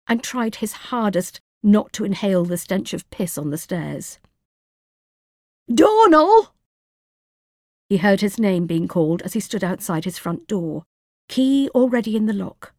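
A woman narrating fiction as an audiobook reader, in a measured, expressive storytelling manner. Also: mid-range voice, no room sound, studio quality.

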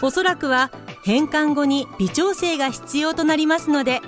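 A woman's voice: high voice, moderate pace, faint music behind, lightly acted. A woman playing a polite, instructional narrator who explains office matters in a comic radio drama. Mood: polite, explanatory.

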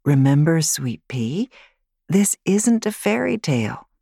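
A woman voicing a loving, patient grandmother in an audiobook, gentle and reassuring. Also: low voice, very animated, slow pace, gravelly, husky, no echo, clean studio sound, heavily acted. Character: loving, patient older grandmother soothing children. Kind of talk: audiobook character voice.

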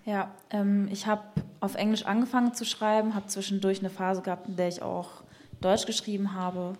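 A woman's voice: mid-range voice, little pitch movement, moderate pace, a little mellow. A woman poet in conversation, speaking reflectively and searching for words with frequent fillers. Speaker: poet guest.

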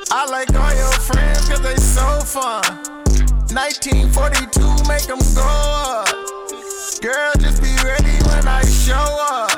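A woman's voice with a slow, laid-back delivery on a played track. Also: high voice, fairly even intonation, loud music behind the voice. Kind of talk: rapping over a track. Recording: hip hop beat loud under voice.

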